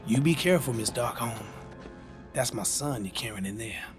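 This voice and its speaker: A principled mutant ex-soldier played by a man in a video game cutscene. His voice is mid-pitched and conversational with a wry edge, set against prominent music. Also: lively intonation, normal speed, close to an everyday voice. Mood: easygoing.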